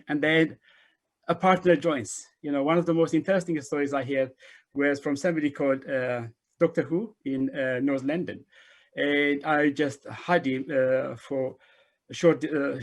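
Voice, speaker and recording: A middle-aged man giving a conference presentation over a video call, repeating words and phrases to drive points home. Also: on the high side. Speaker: invited speaker, refugee organization director. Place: small indoor room, remote video call.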